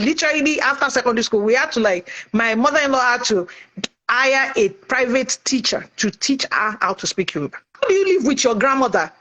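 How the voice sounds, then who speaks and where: A middle-aged woman from Nigeria, talking in an animated, chatty way as a guest in a casual discussion. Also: on the high side, poor audio. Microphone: online call.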